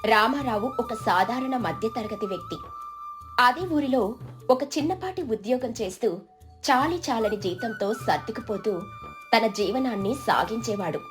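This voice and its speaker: A woman's voice: fairly high pitch, expressive, a little slow, over music. A woman reading a scripted moral story as a storyteller. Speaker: story narrator. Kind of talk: scripted narration of moral stories.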